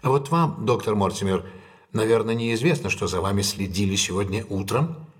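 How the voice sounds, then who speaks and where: An actor playing a shrewd, self-possessed gentleman detective in an audio drama, measured and authoritative. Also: lowish voice, close to an everyday voice. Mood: thoughtful, assured.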